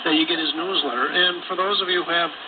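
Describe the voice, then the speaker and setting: A man giving an informal, insistent conversational sales pitch as a radio host, often repeating himself. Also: fairly even intonation, quick pace. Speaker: radio show host selling gold and a newsletter subscription. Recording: tinny, very noisy shortwave radio reception. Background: heavy radio static.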